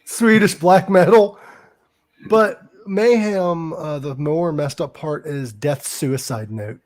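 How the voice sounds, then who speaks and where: A talkative man hosting a casual podcast, with a voice pitched a little high. He chats freely and is quick to correct details with a slightly fussy precision. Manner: chatty, fact-correcting, laughs at dark humor. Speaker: podcast host.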